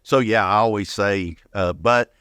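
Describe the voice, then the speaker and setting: A man's voice: on the low side, unhurried, fairly bright tone, clear, resonant tone, no echo, studio quality. An older man speaking as an interview guest, telling personal stories casually and often repeating words as he goes. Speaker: guest, retired firefighter.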